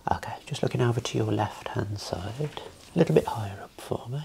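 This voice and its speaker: A man speaking softly, mostly in a whisper, calmly giving reassuring instructions as an optometrist in an ASMR eye exam roleplay. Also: medium pitch, brisk, gravelly, slight background noise.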